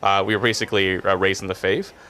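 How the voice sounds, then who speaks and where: Fast-talking young man with a low voice. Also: flat delivery, pushed and bright. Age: young adult. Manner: rapid, with many uhs and restarts. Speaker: podcast guest, young adults group leader. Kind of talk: casual conversation.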